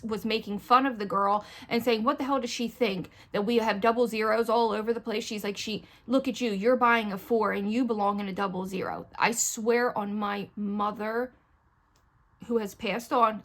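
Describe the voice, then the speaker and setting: A middle-aged woman talking to the camera in a casual vlog, venting at length in a rambling, upset way. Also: mid-range voice. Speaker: vlogger.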